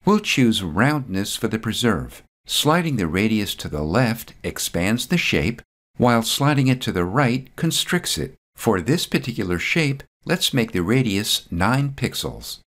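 A man reading a scripted step-by-step software tutorial voice-over, calm and methodical. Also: fairly low pitch, measured pace.

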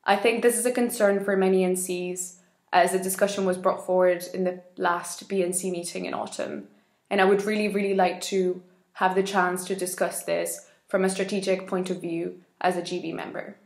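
A woman in her twenties from Spain, giving an earnest, steady campaign address with frequent ums into a webcam in a small indoor room. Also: lowish voice, normal speed, reverberant. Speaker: election candidate.

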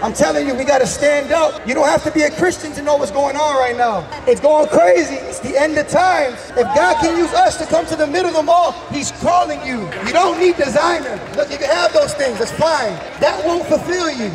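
A man in his thirties preaching and praying forcefully in a revival style, with repeated exhorting calls. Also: very high voice, a little fast, hardly any pauses, far from the mic. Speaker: street preacher. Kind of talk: fervent revival preaching and prayer. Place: large busy indoor shopping mall. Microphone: handheld microphone. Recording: handheld mic in loud crowded public hall. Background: loud crowd.

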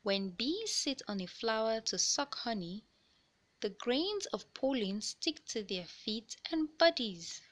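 Lady reading a kids science book out loud, slow and deliberate, bright voice, expressive storyteller style. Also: fairly high pitch. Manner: deliberate, questioning storyteller delivery for young listeners. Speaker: read-aloud narrator of children's books. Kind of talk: expressive read-aloud of a children's science picture book.

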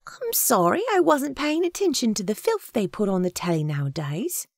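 A woman's voice: mid-range voice, huge pitch swings, normal speed, a little mellow, no room sound, studio quality, voice pushed up. A woman voicing a motherly, feisty, devout older woman who talks earnestly and scolds in folksy turns of phrase. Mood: flustered.

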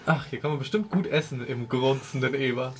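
Tabletop gamer, rapid speech, casual banter slipping in and out of role. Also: mid-range voice, slight background noise. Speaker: tabletop game player.

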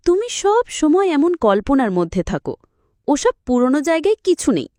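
A woman's voice: sits high, sing-song, moderate pace, mellow, pitched above the performer's own voice. A woman voicing a lazy, timid, imaginative rabbit in a children's cartoon, with a whiny, playful manner. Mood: skeptical. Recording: roomy echo with faint music.

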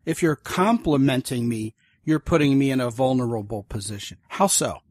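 A man hosting a podcast interview, talking at length in a wordy, animated way and acting out the inner voices he describes. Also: mid-range voice, moderate pace, no room sound, clean studio sound. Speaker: podcast host. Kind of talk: conversational interview.

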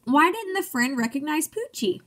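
A woman's voice: high-pitched, sing-song, normal speed, a bit bright. A young woman reading a picture book aloud to children like a teacher, encouraging and speaking in simple phrases. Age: young adult.

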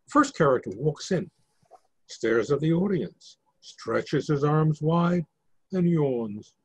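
An older man reads stage directions in a husky voice. It sits a little high for a man, and the sound is dry. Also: animated, slow pace, darkish tone, no echo, rough recording. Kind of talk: reading stage directions aloud. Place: indoors at home on a video call.

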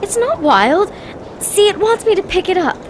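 Actress doing scared young woman in a radio drama, high anxious voice, pleading, noisy night soundscape. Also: sing-song, moderate pace. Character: frightened, soft-hearted young woman stranded at night.

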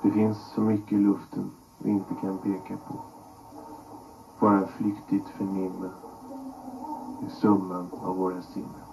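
A man reading a reflective scripted narration in measured, literary phrasing. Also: sits low, completely flat delivery, gravelly. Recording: dull, noisy voice track.

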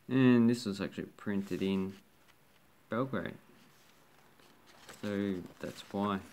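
A man casually talking through banknotes from his collection, rambling with fillers and restarts. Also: lowish voice. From Australia. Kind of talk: casual show-and-tell commentary.

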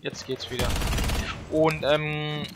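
A man's voice: a little high, flat delivery, takes it slowly, background noise. A man hosting a let's play with casual, slangy gaming commentary.

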